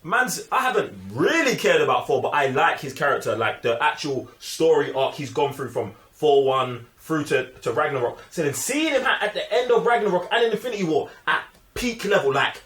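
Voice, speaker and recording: A man's voice: a little high, expressive, moderate pace, a bit bright. A young man with a London accent chatting casually and emphatically about films, full of slang. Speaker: film review podcast host. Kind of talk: casual opinionated film chat. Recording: echoey room.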